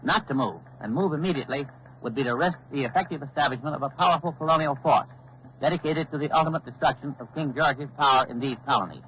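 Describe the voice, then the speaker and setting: An actor playing a grave, authoritative male news analyst in formal, deliberate 1940s radio commentary. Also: a little high, lightly acted. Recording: dull old 1940s radio recording, faint noise.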